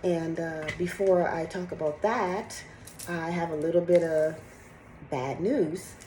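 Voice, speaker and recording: Middle aged lady vlogging, bit raspy and congested, candid chatty, roomy echo w some faint noise. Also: on the low side, steady tone, slow pace, dark tone. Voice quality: slightly raspy. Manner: candid, rambling, conversational. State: sick with congested cough. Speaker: fitness vlogger. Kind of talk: casual chat to camera, personal update.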